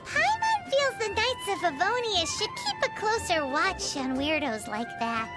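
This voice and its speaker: A woman voicing a tiny, childlike, excitable fairy companion in scripted game dialogue, with a squeaky, exclamatory delivery. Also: a little slow, music in the background, heavily acted. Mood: cautioning. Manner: exclamatory, refers to self in third person.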